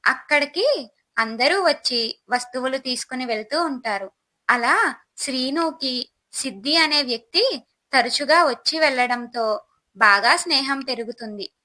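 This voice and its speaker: Bright, high-pitched woman storyteller. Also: lively intonation, measured pace, long pauses, rough recording. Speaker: story narrator. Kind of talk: scripted narration of a moral story.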